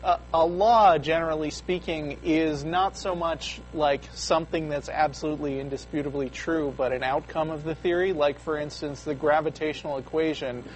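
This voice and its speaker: Young guy cohost, kinda high voice, lots of ums and restarts, faint studio hum. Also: steady tone, low-quality recording. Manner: halting, many fillers and restarts. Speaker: co-host of call-in show. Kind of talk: casual explaining on a call-in show.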